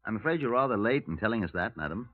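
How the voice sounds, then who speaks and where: A muffled old radio recording of a middle-aged police superintendent. His low voice swings freely in pitch while staying dry and methodical. He sounds world-weary and formal, choosing words with deliberation. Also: expressive, quick pace. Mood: dry questioning. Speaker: detective and narrator. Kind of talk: 1950s radio crime drama. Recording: muffled, narrow-band 1950s radio recording.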